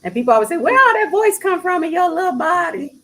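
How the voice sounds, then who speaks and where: A woman who sings, talking casually as an interview guest, soft-spoken with bursts of laughter. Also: sing-song, fast. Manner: soft-spoken, breaks into laughter and high mimicry. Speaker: singer guest.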